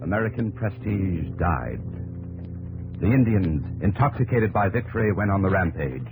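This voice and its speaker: A man's voice: sits low, big pitch swings, moderate pace, poor audio. A man narrating a 1950s radio drama in a dramatic, declamatory scripted style. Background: music.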